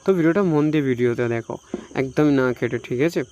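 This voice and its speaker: Fast-talking Indian Bengali man, noisy background. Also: medium pitch, mellow, muffled recording. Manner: repetitive, frequently tags phrases with a checking question. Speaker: tech tutorial YouTuber. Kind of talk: informal spoken phone tutorial.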